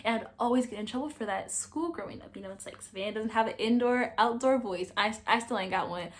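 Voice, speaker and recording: A young woman hosting a casual book review, talkative and animated, projecting a loud voice. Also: on the high side, fast. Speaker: book reviewer, channel host. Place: indoors, sitting against a wall.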